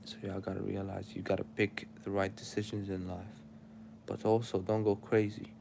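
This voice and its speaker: A man's voice: sits low, level tone, moderate pace, gravelly, noisy background. A young man with an Arabic accent, sleepy and tired, preaching casual life advice straight to his livestream chat.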